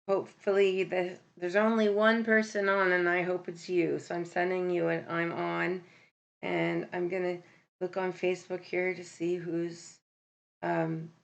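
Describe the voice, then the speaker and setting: A woman hosting a live stream speaks in a low, gravelly voice at an unhurried pace. She talks bluntly and candidly, stopping often on ums and restarting her sentences. The sound is dull and lo-fi, as from a laptop mic. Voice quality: deep voice. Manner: candid, blunt, self-correcting, with many ums. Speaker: livestream host. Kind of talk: casual live-stream talk about mental health.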